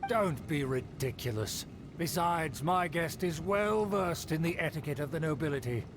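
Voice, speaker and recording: A pompous, scheming aristocrat in a video game, haughty and indignant. His pitch sits somewhat high and swings widely. The game audio carries a steady noise. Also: normal speed. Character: pompous scheming aristocrat, middle-aged man.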